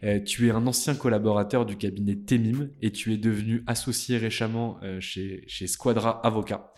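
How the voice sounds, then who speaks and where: A man's voice: on the low side, fairly even intonation, moderate pace, a little mellow. A man hosting an interview, talking in a friendly, informal way. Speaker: interview host. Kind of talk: conversational interview.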